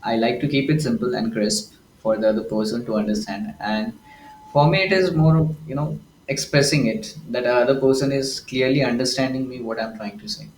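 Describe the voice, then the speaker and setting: A man's voice: mid-range voice, brisk, a little hiss or hum. Indian man in an echoey room. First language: Hindi. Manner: reflective, wordy, many restarts. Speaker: host. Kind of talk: casual conversation. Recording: echoey room, faint ambience.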